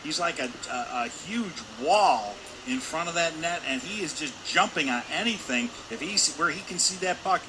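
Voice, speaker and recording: A man's voice: a little high, animated, normal speed, few pauses. A man giving loose, conversational color commentary on a hockey game. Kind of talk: conversational sports analysis. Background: noisy indoor ice rink.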